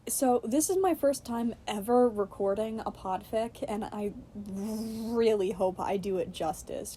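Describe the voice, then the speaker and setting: A woman's voice: medium pitch, warm tone, a little hiss or hum. A woman narrating a scripted story as an amateur podfic reader.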